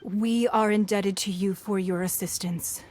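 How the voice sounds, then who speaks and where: An actress playing a poised, shrewd businesswoman who leads a fantasy city, speaking in a measured, formal, diplomatic way in a game. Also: medium pitch, fairly even intonation, a little mellow, lightly acted. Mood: composed, diplomatic. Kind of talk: scripted fantasy game dialogue. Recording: music under the voice.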